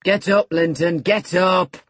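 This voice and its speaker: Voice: medium pitch, a little slow, breathy edge, no echo. A narrator voicing a menacing, sardonic middle-aged man who commands and sneers, in an audiobook of a classic novel. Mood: shouting, commanding. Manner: sneering, commanding, alternately muttering and shouting.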